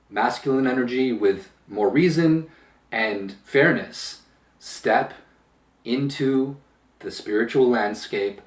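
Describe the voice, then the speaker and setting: A man with a powerful, mid-pitched voice speaks his mind in a measured monologue, slowing down to lean on key words. His voice grows loud and forceful as he presses a point. It rings in a roomy space, picked up from some distance away from the mic. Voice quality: powerful voice that gets loud when upset. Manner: emphatic, slow deliberate phrasing with pauses. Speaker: channel host. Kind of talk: opinion commentary monologue.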